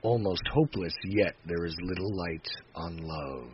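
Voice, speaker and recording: A man reciting poetry dramatically, in a solemn, measured manner that moves between hushed phrase endings and emphatic phrases. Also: low-pitched, expressive, husky. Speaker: poetry reader. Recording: dull lo-fi recording with faint noise.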